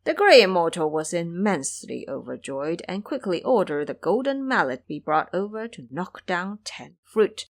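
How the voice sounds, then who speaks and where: Female narrator for audio drama, measured storytelling delivery, very varied intonation. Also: fairly low pitch, very animated.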